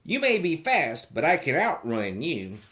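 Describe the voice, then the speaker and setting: Cocky little gingerbread man for a storybook, voiced by the reader, taunting, sing-song, very animated. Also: medium pitch, unhurried. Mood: boastful, taunting. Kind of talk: storybook character voice.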